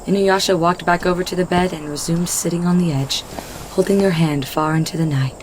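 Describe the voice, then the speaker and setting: A woman with a slightly low voice narrates a scripted story. It is a home recording, with noticeable noise behind her reading. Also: fairly even intonation, brisk, low-quality recording.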